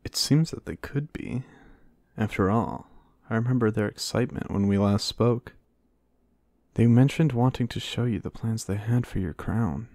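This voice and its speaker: A devoted, earnest knight, played by a man in a romantic fantasy audio roleplay. His voice is fairly low and recorded dry, without room sound. He speaks to his queen in formal, courtly phrases. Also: moderate pace, darkish tone, no room sound. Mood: warm, eager.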